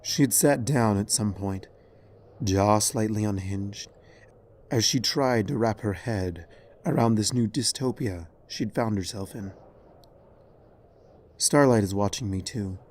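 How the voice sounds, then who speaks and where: A man reading dramatic fiction as narrator in a somber, measured way. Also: on the low side, a little mellow, a little hiss or hum. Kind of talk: dramatic scripted story narration.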